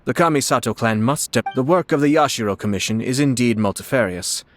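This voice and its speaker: An actor playing a polite young noble commissioner in a video game, formal and measured, with a quiet wryness. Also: male voice, medium pitch, animated, slight background noise, natural voice. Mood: composed. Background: background music.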